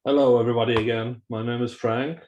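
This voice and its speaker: A man from Germany, introducing himself haltingly in an online meeting. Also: mid-range voice, little pitch movement, a little mellow, lo-fi sound, slight background noise. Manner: halting, many uhs. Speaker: clinical engineer. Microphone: video call. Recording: roomy video call audio.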